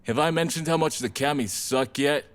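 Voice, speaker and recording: A man's voice: fairly high pitch, normal speed, clear, resonant tone, close to an everyday voice. A man playing a laid-back, blunt rebel vampire in an audio drama, talking in casual slang. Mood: breezy.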